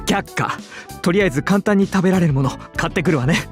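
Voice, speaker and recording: Man playing fussy effeminate motherly guy in a visual novel, talks super fast, faint music. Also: sits high, close to an everyday voice. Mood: fussing, concerned.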